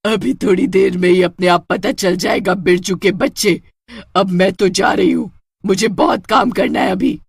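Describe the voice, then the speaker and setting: A woman's voice: mid-range voice, moderate pace, gravelly, slightly rough, no echo, clean studio sound. A woman voicing a vengeful, gloating witch with a menacing, taunting and cackling manner in a horror story. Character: vengeful gloating female witch who beats men with a belt. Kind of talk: Hindi horror cartoon story acting.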